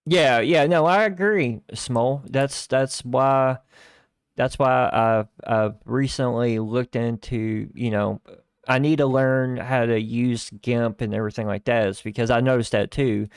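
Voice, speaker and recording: A man chatting casually on his live stream from a home setup, his voice gravelly with fry yet smooth and resonant. He rambles, restarts and fills gaps. The sound is dry and thin in the low end, from a starter microphone. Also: measured pace, no room sound. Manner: rambling, with many fillers and restarts. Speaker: livestream host. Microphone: starter desk microphone lacking bass.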